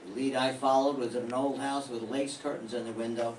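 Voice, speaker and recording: A hard-boiled private eye, played by an older man in an old-time radio drama, dry and sardonic. His voice sits mid-pitched and comes from across a reverberant room, with a faint hiss underneath. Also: fairly even intonation, a little fast, far from the mic, natural voice. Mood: narrating. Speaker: amateur radio play performer. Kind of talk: 1940s radio acting.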